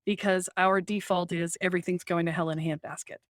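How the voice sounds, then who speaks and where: A woman guest on a podcast interview, a spiritual coach who talks in an animated, emphatic way, often tags "right?" and laughs easily. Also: lowish voice, quick pace, no room sound, studio quality.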